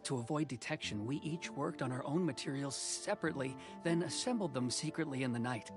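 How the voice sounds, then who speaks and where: A man's voice: mid-range voice, lively intonation, fairly quick, music in the background, natural voice. An actor playing an earnest, modest young male craftsman in a video game, speaking politely and apologetically. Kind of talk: video game character acting.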